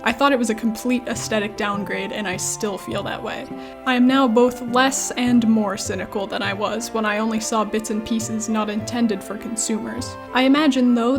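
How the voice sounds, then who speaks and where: A woman hosting a scripted video commentary on games, with wry, self-deprecating asides. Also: on the high side. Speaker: video essayist, YouTube host.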